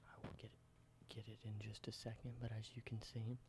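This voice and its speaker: A man whispers close to the mic in a low, steady voice that barely rises or falls. He explains ordinary objects slowly and simply, and a clear hiss runs under the recording. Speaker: ASMR creator. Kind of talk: whispered ASMR roleplay.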